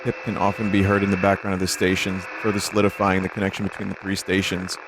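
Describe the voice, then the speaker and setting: A man's voice: low-pitched, monotone, quick pace, noisy background. A man hosting a livestream, reading text aloud and commenting casually. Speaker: streamer and host.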